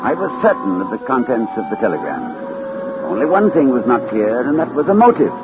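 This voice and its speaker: Expressive, troubled gentleman detective played by a man. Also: mid-range voice, very noisy, lightly acted. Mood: brooding, unsettled. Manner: formal, hesitant, measured. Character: troubled gentleman detective narrating his case. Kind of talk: 1940s-50s radio acting, first-person narration. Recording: dull old radio recording with music.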